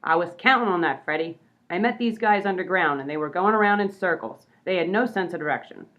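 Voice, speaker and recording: A woman's voice: lowish voice, a little fast, natural voice. A woman voicing a smug, double-crossing gangster's moll in a comedy radio play. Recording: tinny phone-like audio.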